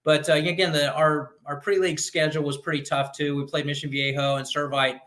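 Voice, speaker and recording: A man chatting about football as a sports guest, talking in a measured, analytical way. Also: fairly high pitch, flat delivery, a bit bright. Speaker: guest, local sports network game broadcaster. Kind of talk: conversational football talk.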